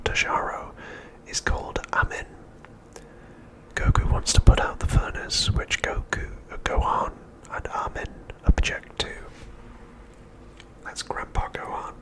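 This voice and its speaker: Whispered male voice, middle-aged, breathy and unvoiced, ASMR recap host, gentle unhurried phrasing, deliberate pauses.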